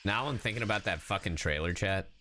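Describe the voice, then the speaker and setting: Very deep-voiced, excitable male game streamer. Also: fast talker, background noise. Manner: excitable, sweary, gushing. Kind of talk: casual livestream game reaction. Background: game music.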